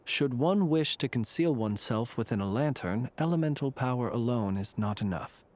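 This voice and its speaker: An actor playing a courteous, secretive lantern keeper in a video game, speaking in a formal, measured, wry way. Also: male voice, medium pitch, animated, darkish tone, natural voice. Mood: candid, measured. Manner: formal, measured, dryly ironic.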